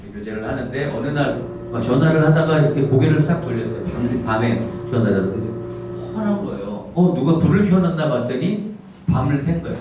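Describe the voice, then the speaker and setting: A middle-aged man from the Gyeongsang region who speaks with a standard Seoul-area accent, preaching in a soft, humorous storytelling manner. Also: medium pitch, expressive, brisk, distant mic. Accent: standard Seoul-area Korean. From Gyeongsang region, South Korea. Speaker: pastor. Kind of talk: lecture-style sermon. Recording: echoey hall miked from afar, background noise.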